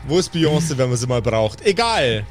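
A man's voice: medium pitch, sing-song, moderate pace. A man narrating an improvised tabletop fantasy game as game master, with dramatic, descriptive storytelling. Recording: music playing behind the voice. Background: background music.

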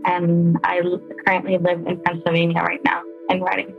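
A woman in her twenties, from El Salvador and raised in the United States, giving a personal account with halting, emotional speech and repeated words. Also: lowish voice, completely flat delivery, gravelly, dull audio, over music. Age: 20s. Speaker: interviewee. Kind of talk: personal testimony.

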